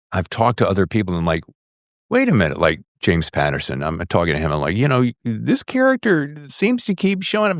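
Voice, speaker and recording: Animated low-voiced American podcast host. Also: male voice, no echo, studio quality. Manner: chatty, jokey, acts out quoted remarks. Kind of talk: casual interview chat.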